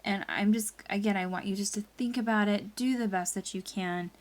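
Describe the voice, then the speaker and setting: A woman's voice: medium pitch, normal speed. A woman teacher leading a read-aloud lesson for pupils, reading expressively and guiding them through a story. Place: indoors at a laptop.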